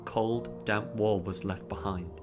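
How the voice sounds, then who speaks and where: Guy reading an audiobook story, lowish voice, theatrical and expressive, music clearly playing behind. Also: takes it slowly. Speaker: audiobook narrator. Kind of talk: dramatic scripted story narration.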